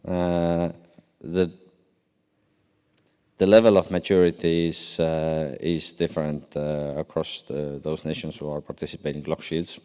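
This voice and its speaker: A man from Estonia answering on a conference panel, speaking haltingly with many fillers and restarts. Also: very deep voice, little pitch movement, mellow, smooth. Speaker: deputy cyber commander on a panel. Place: large conference hall. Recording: long hall reverb.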